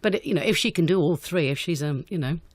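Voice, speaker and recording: A woman's voice: fairly low pitch, fast, husky, dry recording. Woman chatting in a dry close recording. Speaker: guest, amateur pantomime director. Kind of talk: unscripted radio interview answers.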